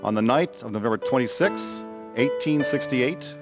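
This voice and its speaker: Male narrator for historical radio drama, medium pitch, guitar backing. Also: moderate pace. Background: plucked guitar music.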